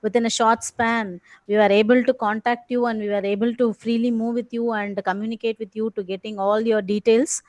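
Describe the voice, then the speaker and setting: A woman's voice: on the high side, little pitch movement, fairly quick, few pauses, a little mellow, dry recording. A woman from India coordinating a webinar, giving an earnest formal vote of thanks. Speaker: event coordinator.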